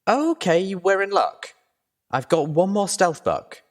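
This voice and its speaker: A man's voice: sits high, sing-song, takes it slowly, pitched above the performer's own voice, exaggerated voice. A man voicing an earnest, anxious young female pony heroine in an audio story. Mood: urgent, hopeful.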